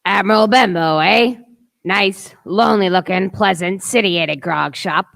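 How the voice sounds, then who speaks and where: A woman playing a gruff, suspicious old seaman captain in an amateur radio play, with commanding, salty pirate talk. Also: medium pitch, a little slow, a bit bright.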